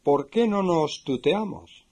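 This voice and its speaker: A man with a Spain Spanish accent playing a courteous, sociable Spanish man in a language-course dialogue, speaking slowly and clearly for learners. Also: on the high side, lively intonation, darkish tone. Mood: clear, deliberate. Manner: slow and clearly spoken for learners.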